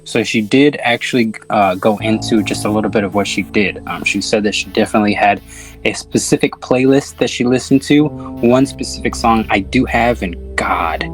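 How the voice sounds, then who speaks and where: Low-voiced man, slangy podcast host. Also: normal speed, faint music behind. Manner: swears freely, slangy. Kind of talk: casual film discussion podcast.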